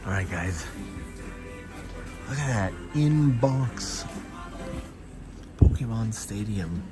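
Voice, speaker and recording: A man's voice: on the low side, animated, takes it slowly, over music. A man live-streaming as he walks through shops and streets, chatting and exclaiming with enthusiasm. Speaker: streamer vlogging around Tokyo. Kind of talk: live-stream walking vlog. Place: shop in Tokyo, then outdoors on a street. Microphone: handheld camera on gimbal.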